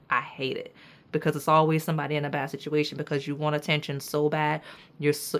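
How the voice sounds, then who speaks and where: A woman doing a pick-a-card tarot reading with a raspy voice, talking straight to her viewers in an emphatic, preachy way and checking in with "okay?" and "right?". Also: sits low. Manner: casual slang, addresses viewers as y'all. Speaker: tarot reader.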